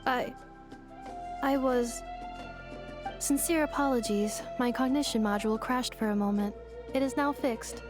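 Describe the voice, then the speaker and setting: A calm female android speaks in a flat, formal, carefully precise way, in a slightly raised voice, as a woman plays her. Every word is measured and literal. Faint game music sits behind her. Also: a little high, close to an everyday voice. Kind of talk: game voice acting.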